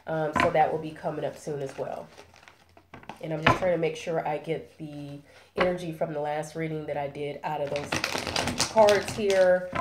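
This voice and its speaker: A woman's voice: sits low, fairly even intonation, normal speed, echoey room, heavy background noise. A woman with a head cold, sounding nasal, giving a chatty tarot reading full of fillers and check-ins. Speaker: tarot reader. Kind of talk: casual spoken tarot reading.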